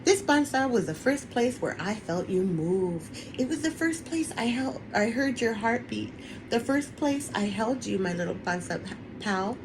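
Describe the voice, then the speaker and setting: A woman voicing a loving, playful mother speaking to her small son in a storybook, in an affectionate, expressive way. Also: fairly high pitch, a little slow. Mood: tender. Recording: clearly noisy online webinar recording.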